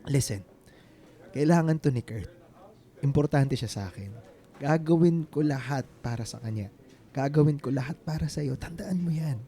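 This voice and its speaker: An actor playing a devoted, reassuring suitor in a Filipino radio drama, speaking earnestly and mixing in English. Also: male voice, on the high side, moderate pace, dark tone, close to an everyday voice.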